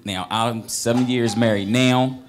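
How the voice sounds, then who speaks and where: A man's voice: medium pitch, steady tone, unhurried. A man, a singer and actor, earnestly talking about his role in a casual interview, speaking into a handheld mic in a restaurant. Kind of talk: interview answers. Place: restaurant with wood-paneled walls.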